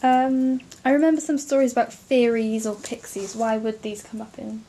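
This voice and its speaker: A woman's voice: fairly high pitch, level tone, moderate pace, dark tone, a little hiss or hum. A young woman interviewing a relative about bedtime stories, encouraging and laughing often. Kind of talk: casual family interview.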